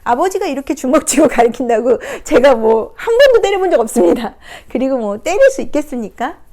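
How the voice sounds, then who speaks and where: A woman counselor giving a reflective talk, retelling a picture book in a gentle, unhurried way with soft hesitations. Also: high voice, sing-song. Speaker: counselor and coach. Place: recording her first video talk.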